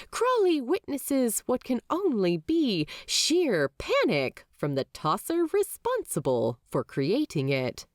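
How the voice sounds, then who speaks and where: Slow, lively woman narrating a story. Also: no echo, studio quality. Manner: expressive storytelling with wide swings of pitch. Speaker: podfic narrator. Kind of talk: reading fan fiction aloud.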